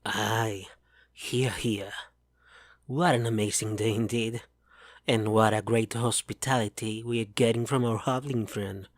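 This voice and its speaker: A man's voice: lowish voice, steady tone, measured pace, dry recording, clean studio sound. A man voicing a good-natured male dwarf who keeps the peace. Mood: cheerful. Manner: reassuring, plain-spoken.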